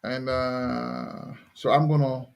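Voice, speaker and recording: A man's voice: mid-range voice, flat delivery, slow pace, creaky, highs cut off. A man hosting an online scripture lesson, talking loosely with many fillers and repeats. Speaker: host teaching scripture. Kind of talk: casual religious teaching over video call.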